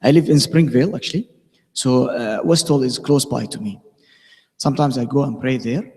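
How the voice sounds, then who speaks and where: Mellow Syrian-accented man in an echoey hall. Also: mid-range voice, normal speed, creaky. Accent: Syrian Arabic. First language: Arabic. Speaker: guest speaker, pharmacist and school teacher. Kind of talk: informal religious community lecture. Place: mosque hall. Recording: echoey hall with long reverb.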